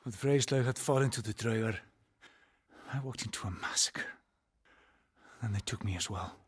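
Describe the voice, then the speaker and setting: A man voicing a grave, weary Norse guide in a video game, solemn and portentous, with a husky, breathy voice. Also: on the low side. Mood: grave, foreboding. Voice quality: husky, breathy, often dropping to a whisper. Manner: solemn, deliberate, portentous delivery. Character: grave, weary male seer and guide of a haunted Norse land. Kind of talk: dramatic video game dialogue.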